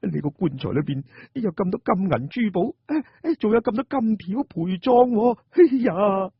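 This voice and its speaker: A greedy, jittery gambler turned grave robber, put on by a man in radio drama style. His very husky voice leaps up and down as he mutters and exclaims excitably to himself. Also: sits high, normal speed, dry recording, highs cut off, voice pushed up, exaggerated voice. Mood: greedy excitement.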